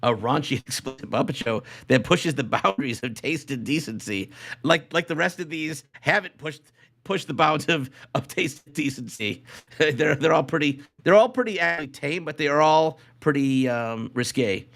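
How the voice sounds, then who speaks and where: A man's voice: a little high, lively intonation, moderate pace, creaky, a bit bright. Rambling middle-aged man podcasting. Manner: hesitant and circling, repeats phrases. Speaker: podcast co-host. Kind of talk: casual rambling podcast chat.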